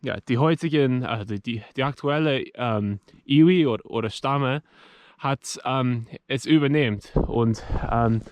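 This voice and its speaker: A man whose native language is English, talking haltingly with many fillers as he vlogs from a mountain trail. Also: medium pitch, no room sound. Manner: halting non-fluent speech with many fillers. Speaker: hiking vlogger. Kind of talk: casual walking vlog. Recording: outdoor ambient noise.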